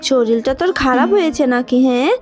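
A woman's voice: sits high, quick pace, close to an everyday voice. A woman voicing a stern, suspicious mother in a cartoon, brusque and scolding. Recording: long reverb with music underneath.

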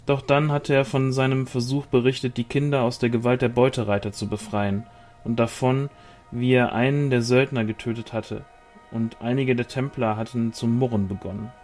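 A man's voice: mid-range voice, fairly even intonation, moderate pace, darkish tone, soft background music. A man reading a novel aloud as scripted narration. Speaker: audiobook reader.

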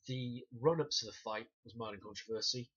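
A man, reviewing a documentary to camera in a small room, animated and demonstrating punches with wry sarcastic asides. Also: medium pitch, brisk, breathy edge. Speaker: self-defence instructor reviewing a documentary. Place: small room indoors, banner behind. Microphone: webcam at desk distance. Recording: dull lo-fi webcam audio.

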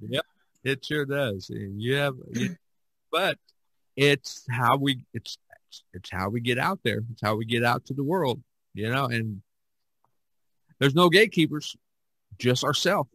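A man's voice: medium pitch, measured pace, long pauses, a bit bright, husky, no echo, rough recording. Man chatting casually, dry close sound. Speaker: podcast host. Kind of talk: casual podcast discussion.

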